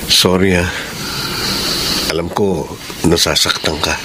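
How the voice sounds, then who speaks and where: A Filipino actor playing an apologetic, gentle friend and caring partner in a radio drama. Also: male voice, low voice, steady tone, takes it slowly. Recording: noisy background ambience.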